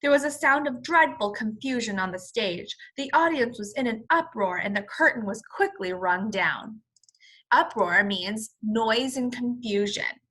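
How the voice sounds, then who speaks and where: A woman with a bright, fairly high voice reads a children's story aloud. She sounds like a teacher, expressive and explanatory in her delivery. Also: a little slow, slightly rough, poor audio. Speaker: first-grade teacher.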